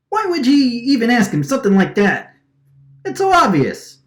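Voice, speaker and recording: A woman's voice: high voice, echoey room. A woman acting an agitated, stammering wiseguy man with a vacuum for a face, recording radio drama lines. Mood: defensive, annoyed. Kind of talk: radio drama line takes, gangster-movie wiseguy delivery.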